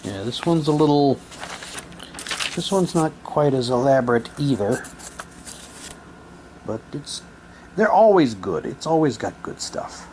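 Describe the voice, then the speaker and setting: Man, casual unboxing commentary, reads aloud, musing to himself, medium pitch, noticeable background noise. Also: lively intonation, unhurried, pauses often, mellow, rough recording. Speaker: reviewer showing mail-order radio drama props. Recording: clear background noise, room ambience.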